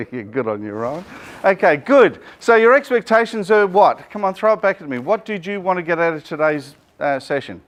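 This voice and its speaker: A middle-aged Australian man, a business coach, running a joking, energetic seminar and quizzing his audience, speaking without a microphone to the room. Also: high-pitched, normal speed. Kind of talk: lively workshop presentation to a live room.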